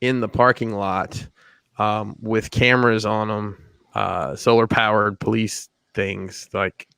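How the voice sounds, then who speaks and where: Man, gravelly voice, dry close sound, rambling jokey podcast chat. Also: fairly low pitch, little pitch movement, slow pace, a bit bright, no echo. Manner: joking, rambling, crude humor. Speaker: podcast co-host. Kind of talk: casual chat.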